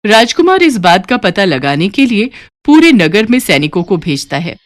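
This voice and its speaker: A woman narrates a scripted storybook tale in an expressive, storytelling manner. Her mid-range voice rises and falls in lively curves. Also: medium pitch, normal speed.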